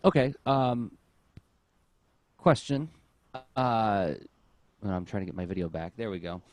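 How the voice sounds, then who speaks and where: A man's voice: on the low side, expressive, unhurried. A man hosting a podcast conversationally, thinking aloud and rephrasing his questions as he goes. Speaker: podcast host, interviewer. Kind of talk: casual conversational interviewing.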